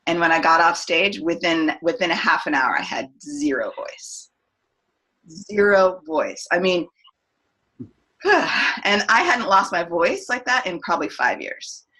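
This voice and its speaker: A woman's voice: fairly low pitch, sing-song, unhurried, pushed and bright, husky, poor audio. A woman, a singer and voice coach, talking as a podcast guest and telling a reflective personal story with many restarts and fillers. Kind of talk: casual podcast interview.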